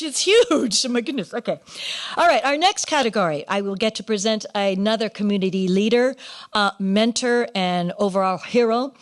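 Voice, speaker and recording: A woman hosting a live awards ceremony, speaking effusively and emphatically with halting, dramatic pauses. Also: medium pitch. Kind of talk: live event hosting, introducing presenters. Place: live in-person ceremony before an audience.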